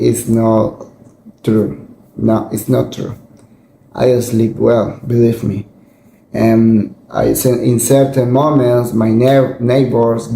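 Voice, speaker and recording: A Mexican man speaking English with a Spanish accent, slow and halting, with many fillers and restarts as he hunts for words. His voice is very dark and mellow. He is recorded in an echoey room with a faint hum behind him. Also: medium pitch, creaky. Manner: reassuring, often adds "believe me" and "yes?". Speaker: immigration video host. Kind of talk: casual advice talk to camera.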